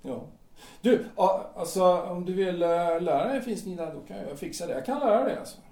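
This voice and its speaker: A man's voice: sits high, dark tone. Man acting soothing, hesitant man in a roomy space. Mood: friendly, proud. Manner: hesitant, soothing, coaxing, colloquial. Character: calm carpenter talking a man down from a rooftop. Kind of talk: audio drama acting. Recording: roomy sound, distant from microphone.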